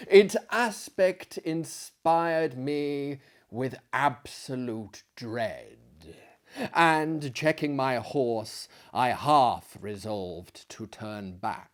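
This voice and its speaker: A man in his thirties reading a literary tale aloud in a theatrical, gentlemanly manner, with dramatic pauses and chatty asides. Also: mid-range voice. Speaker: storyteller, host. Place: small book-lined living room, indoors.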